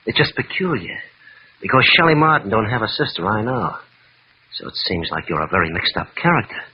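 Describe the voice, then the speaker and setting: A muffled old radio recording of a lowish-voiced menacing hoodlum. He taunts and wisecracks in mocking fashion. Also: sing-song, fairly quick, slightly rough. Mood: mocking, threatening. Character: menacing, mocking male thug. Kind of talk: 1940s-50s radio drama acting.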